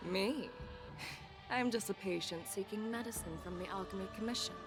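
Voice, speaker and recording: A woman's voice: mid-range voice, moderate pace, natural voice. Actress as a poised, confident warrior woman. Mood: poised, amused. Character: confident, worldly female warrior posing as a humble passerby. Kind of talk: anime game voice acting. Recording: game dialogue over music.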